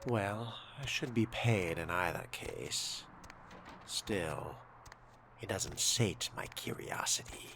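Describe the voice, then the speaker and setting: A man's voice: deep voice, expressive, slow pace, vocal fry, heavy background noise. A man giving in-character game commentary in a haughty, drawling manner with formal diction. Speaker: player voicing his own vampire character in a roleplay playthrough.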